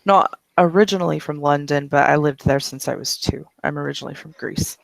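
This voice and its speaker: A composed woman scientist talks in a calm, measured way, pausing often on little fillers. The voice is gravelly and close, in a dry room. A game master puts her on in a near-natural voice. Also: mid-range voice, no room sound, close to an everyday voice. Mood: friendly.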